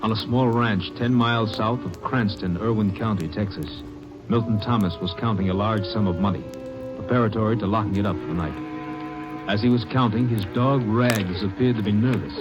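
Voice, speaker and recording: A man's voice: lowish voice, moderate pace. A man narrating a 1940s radio crime drama in a terse, matter-of-fact way. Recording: dull old radio broadcast with music.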